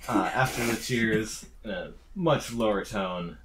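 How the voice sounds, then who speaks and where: A man's voice: fairly low pitch, a little slow, noisy background. A man narrating a tabletop roleplaying game as its game master.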